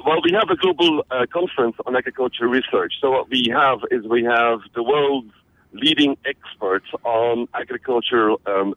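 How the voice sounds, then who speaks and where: A man from Belgium, a guest in a radio interview, talking energetically and often repeating words as he starts a sentence. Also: mid-range voice. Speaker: interview guest, conference organizer. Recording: tinny phone-like radio line.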